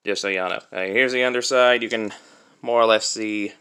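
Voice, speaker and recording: A young man giving a casual, unscripted toy review in a chatty, halting way, recorded on a cell phone. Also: fairly low pitch, steady tone, pushed and bright, smooth. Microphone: cell phone used as camera.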